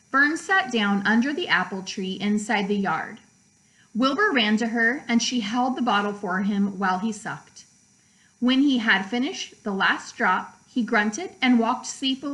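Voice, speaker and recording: Lady reading a kids book out loud, kinda high voice, careful measured phrasing. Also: rough recording. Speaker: teacher reading a children's book aloud. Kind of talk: storybook read-aloud narration.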